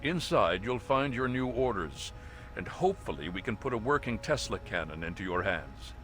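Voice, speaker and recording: Composed military officer, noisy backdrop. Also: male voice, fairly low pitch, big pitch swings. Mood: businesslike, urgent. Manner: measured, authoritative, formal. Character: stern, composed male military officer briefing a soldier. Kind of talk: scripted mission briefing.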